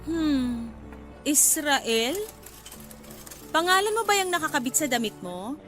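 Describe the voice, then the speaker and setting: A woman's voice: high-pitched, animated, takes it slowly, a bit bright, faint music behind, heavily acted. An actress playing a playful, forward young flower seller in a Filipino radio drama, coy and giggly as she teases. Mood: friendly, asking favor.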